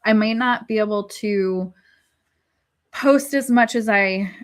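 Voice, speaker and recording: A woman's voice: mid-range voice, slow. A woman hosting a live panel discussion, speaking reflectively with frequent restarts, who is five months pregnant. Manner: reflective, searching, many restarts. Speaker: host.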